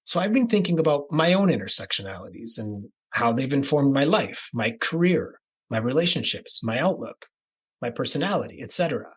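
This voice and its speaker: A man from the American Midwest hosting a podcast, reading a scripted introduction in a measured, deliberate cadence that moves through lists. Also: mid-range voice, big pitch swings, husky. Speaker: podcast host.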